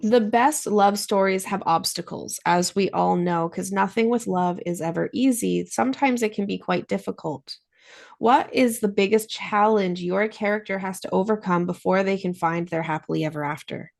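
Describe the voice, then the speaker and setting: A woman's voice: mid-range voice, moderate pace, vocal fry. A woman hosting an interview, speaking in an articulate, encouraging way as she asks questions and comments. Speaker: interviewer, host of a book show.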